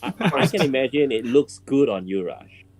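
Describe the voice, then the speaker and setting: A man chatting casually as a podcast panelist, haltingly repeating words as he makes his point. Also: medium pitch, big pitch swings, darkish tone, breathy edge. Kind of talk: casual roundtable chat.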